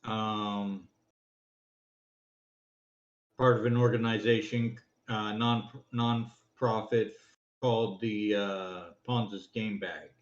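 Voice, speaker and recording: A man joining a game league show as a team's player, answering in short, relaxed remarks over a voice call. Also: lowish voice, takes it slowly. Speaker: team player guest. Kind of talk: casual chat. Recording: echoey, dull voice-call audio.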